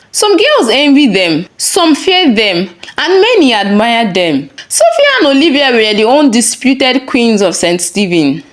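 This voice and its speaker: A woman narrating a scripted story as a storyteller. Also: a little high. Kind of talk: scripted narration of a folktale-style moral story.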